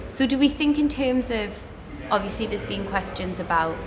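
A woman's voice: medium pitch, moderate pace, crowd noise. A young woman, co-hosting and chatting casually, thinking aloud with lots of hedges. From Gateshead, northeast England. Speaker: livestream co-host. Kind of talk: casual panel chat. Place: indoor shop space with flower backdrop. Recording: reverberant room with steady noise.

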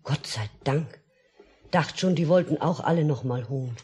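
A woman's voice: deep voice, animated, normal speed, a little mellow, slightly rough, full character voice. A woman playing a placid, naively chatty housewife in a 1950s radio drama, homely and unconcerned. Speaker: actress playing the mother. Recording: noisy 1950s mono radio recording.

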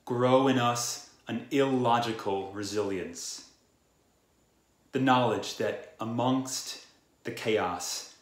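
A man in his thirties reads a scripted poetic prayer in a measured, reverent way, phrase by phrase, recorded indoors at home. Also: medium pitch. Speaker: worship prayer leader. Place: small room at home. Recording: roomy indoor echo.

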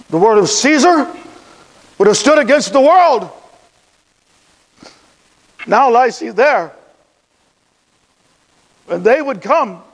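In a long, reverberant hall, an impassioned Roman funeral orator in a classical stage monologue. His voice sits extremely high for a man and moves at a slow, deliberate pace. He declaims with weighted pauses, mixing grief with bitter irony. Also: heavily acted.

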